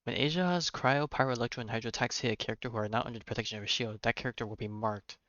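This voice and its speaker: A man's voice: mid-range voice, fairly even intonation, very fast, pushed and bright, no room sound, dull audio. A man livestreaming a game with casual, informal commentary, thinking aloud and reading on-screen text.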